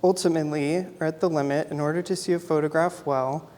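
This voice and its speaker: A soft-spoken young man from Idaho gives a relaxed artist talk in a gallery. His voice sits a little high for a man and trails into a long hall reverb. He fills his pauses with "um" as he goes. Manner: soft-spoken, many ums. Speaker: photographer giving an artist talk.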